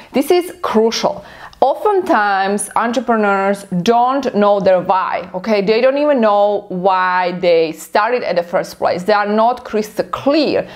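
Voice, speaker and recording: A woman with a Slovak accent, a native Slovak speaker, giving emphatic instructional advice as a business coach hosting a video. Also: mid-range voice. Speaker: online business coach and attorney host. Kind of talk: instructional talk to camera.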